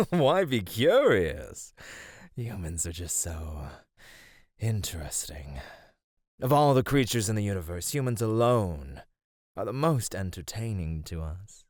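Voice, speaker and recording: A man playing a flirty, amused god of radiance in an ASMR roleplay, teasing and theatrical, with soft laughs. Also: fairly low pitch, big pitch swings, slow, no room sound, studio quality.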